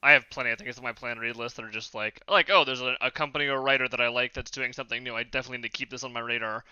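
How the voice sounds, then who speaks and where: Guy hosting a podcast, talks fast, super bright crisp voice, kinda dull lo-fi mic. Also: mid-range voice, fairly even intonation, no room sound. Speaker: podcast host. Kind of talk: casual podcast discussion.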